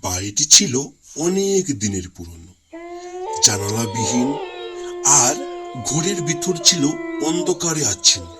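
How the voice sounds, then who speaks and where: A man of medium pitch narrates a scripted horror tale. His delivery is theatrical and suspenseful, with extremely animated swings up and down. Loud music runs behind the voice. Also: unhurried, long pauses. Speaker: story narrator.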